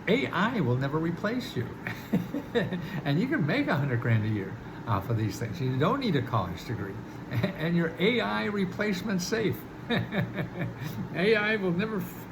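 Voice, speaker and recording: A man's voice: a little high, gravelly, background noise. An older man hosting a casual money-advice talk, chatty and amused, chuckling between points. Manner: chatty, chuckles at own jokes, many restarts. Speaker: host giving money advice. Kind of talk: casual direct-to-camera talk.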